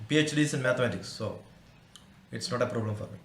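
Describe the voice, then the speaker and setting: A man's voice: medium pitch, fast talker, roomy sound, a little hiss or hum. A man with an Indian accent explaining informally as an academic, teaching through everyday examples. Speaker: academic presenter and mathematician.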